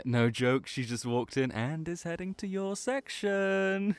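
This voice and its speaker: Man playing a clear-voiced, cheeky young ram-boy cafe worker. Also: a little high, flat delivery, slow pace, no echo. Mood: goading. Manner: playful, needling banter. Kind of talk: audio roleplay acting.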